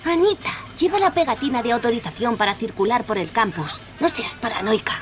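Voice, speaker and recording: An actress with a Castilian Spanish accent playing an outgoing, trusting young hitchhiker in a radio drama. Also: female voice, on the high side, sing-song, brisk. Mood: breezy, confident. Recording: music bed under the voice.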